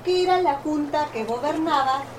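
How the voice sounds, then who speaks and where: An actress as a high-voiced radio street reporter. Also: female voice, normal speed, natural voice. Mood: earnest, informative. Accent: Argentine. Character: polite, eager female radio street reporter. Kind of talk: live news report in a radio drama. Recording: distant, noisy outdoor-style radio recording.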